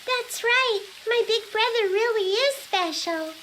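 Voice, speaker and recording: A woman playing a sweet, gentle little sister in an anime audio drama, innocent and cheerful. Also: extremely high pitch, measured pace, slight background noise. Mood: gentle, warm.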